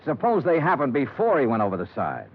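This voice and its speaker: Hard-boiled radio detective, thin vintage sound. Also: male voice, mid-range voice, wildly swooping pitch, fast, husky, full character voice. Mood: insistent. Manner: clipped, wisecracking, hard-boiled. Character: tough, sardonic male insurance investigator, stubborn and principled. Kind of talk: 1950s radio detective drama acting. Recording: muffled 1950s radio recording with music.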